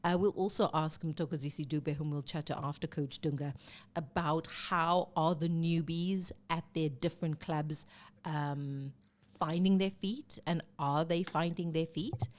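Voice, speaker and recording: A woman from South Africa hosting a live sports talk show, chatty and lively, a bit congested from a cold. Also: low voice, a little slow. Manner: chatty and lively, with fillers and self-corrections.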